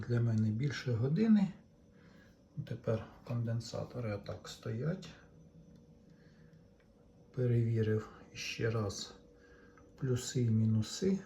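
A man's voice: medium pitch, dark tone, slight background noise. A man talks viewers through a hands-on electronics repair, casually explaining each step without a script. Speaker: electronics repair hobbyist presenting his own video.

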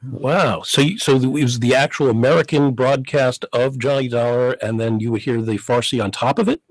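A middle-aged man hosting a call-in radio show from a studio, briskly introducing callers and reading emails. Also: mid-range voice, steady tone, no echo, rough recording. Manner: brisk, guiding, takes callers. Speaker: talk show host. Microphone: studio mic, headphones.

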